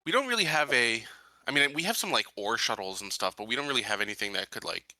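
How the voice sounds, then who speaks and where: Very bright, fast-talking man. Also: mid-range voice, expressive, no echo, low-quality recording. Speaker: tabletop game player. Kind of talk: casual chat.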